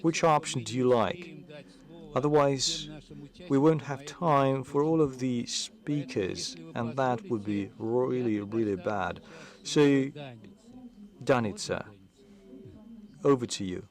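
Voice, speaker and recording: A man's voice: medium pitch, slow. A man moderating a conference panel, introducing the speakers in a hesitant, unsure way with frequent fillers.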